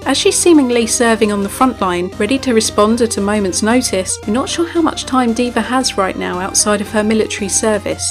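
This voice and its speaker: A woman narrates a scripted profile of a game character. Her voice sits a little high, and music runs behind the narration. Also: a little fast, hardly any pauses.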